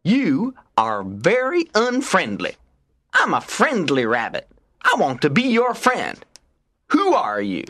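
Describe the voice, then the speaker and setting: A man voicing an excitable, friendly male rabbit in a storybook, with a strained voice and exaggerated, drawn-out exclamations. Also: high voice, pitched above the performer's own voice, exaggerated voice. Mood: indignant. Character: friendly male rabbit, excitable, indignant, pleading when in trouble. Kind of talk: storybook character voice.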